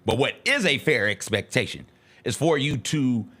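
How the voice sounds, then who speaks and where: A man's voice: mid-range voice, animated, normal speed, pushed and bright. Man talking sports, casual and emphatic. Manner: emphatic, repeats points for stress. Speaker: sports talk show co-host.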